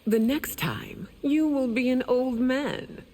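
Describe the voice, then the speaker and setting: An actress playing a regal, ageless queen in a video game, cool, aloof and measured. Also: high-pitched, mellow, in a large hall. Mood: haughty. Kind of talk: video game character voice acting.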